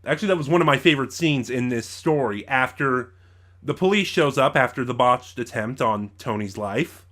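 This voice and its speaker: Man, reviewer, informal delivery, mid-pitched voice, opinionated, rambling, self-correcting. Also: lively intonation, normal speed, a bit bright. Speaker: reviewer, channel host. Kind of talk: informal spoken audio drama review.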